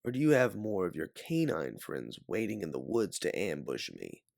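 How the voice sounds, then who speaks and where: Self-reliant female bounty hunter read by a man, low pitch, dry sound, curt defiant audiobook delivery. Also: huge pitch swings, moderate pace, creaky, darkish tone, no echo, exaggerated voice. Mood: defiant, threatening. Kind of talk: audiobook character voice.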